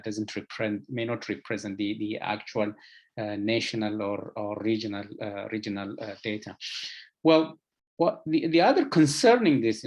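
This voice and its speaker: Halting, low-voiced man with an Ethiopian accent. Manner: halting, hesitant, with frequent filler sounds and repeated words. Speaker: medical expert presenter. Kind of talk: lecture presentation to health professionals.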